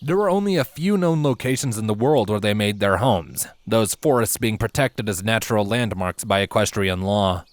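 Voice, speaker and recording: A man's voice: fairly low pitch, lively intonation, normal speed, hardly any pauses, no echo. A man reading a scripted fantasy story aloud as its narrator. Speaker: fan fiction reader, narrator. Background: background music.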